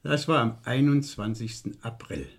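An actor playing a shrewd police inspector in a crime radio play, ironic, probing and insinuating. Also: male voice, mid-range voice, moderate pace, creaky, breathy edge. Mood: deliberate revelation. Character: shrewd, mock-courteous male police inspector, needling suspects. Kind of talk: 1970s German radio crime play acting.

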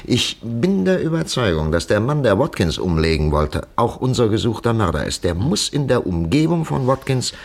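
An actor playing a brisk, commanding police commissioner in a 1950s German radio crime drama, thinking aloud and giving short orders. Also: male voice, mid-range voice, sing-song, vocal fry. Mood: brisk, pondering. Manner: deliberating aloud, then snapping out short orders. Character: brisk commanding male police commissioner leading a murder case.

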